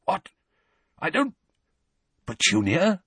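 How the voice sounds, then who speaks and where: A man voicing a blustering, easily flustered middle-aged uncle with a hoarse voice, in an audiobook story. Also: on the high side, huge pitch swings, slow pace, sharp tone, no room sound, voice pushed up, full character voice. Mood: stunned, hoarse. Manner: roaring, then stammering and flustered.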